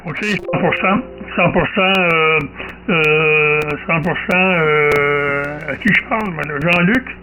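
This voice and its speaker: A man's voice: on the high side, slow. A man with a Québécois accent, chatting casually as an amateur radio operator and repeating short affirmations. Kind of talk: casual radio contact chat. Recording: faint radio hiss and ambience.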